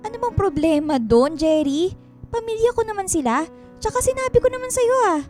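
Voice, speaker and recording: A woman's voice: very high-pitched, expressive, brisk, darkish tone, faint music behind. A woman acting an exasperated Filipino migrant-worker wife in a radio drama, scolding and defensive with indignant questions. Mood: exasperated, curt.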